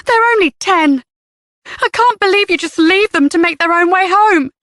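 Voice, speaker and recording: A woman's voice: flat delivery, moderate pace, bright, forceful tone, dry recording, studio quality. Woman doing a tearful, frantic young mother. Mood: distraught. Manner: trembling, high and strained.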